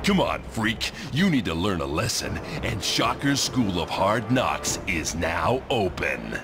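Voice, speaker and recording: A man's voice: low-pitched, very animated, unhurried. A man voicing a brash, threatening supervillain thug in a video game. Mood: menacing. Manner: menacing, boastful. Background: loud game music.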